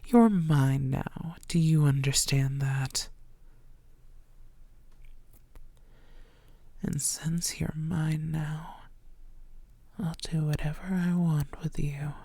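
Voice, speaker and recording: A woman playing a possessive, menacing female vampire in an intimate ASMR roleplay, teasing and often slipping into breathy half-whispers. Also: deep voice, fairly even intonation, slow pace, mellow, dry recording, full character voice. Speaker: audio roleplay voice actor.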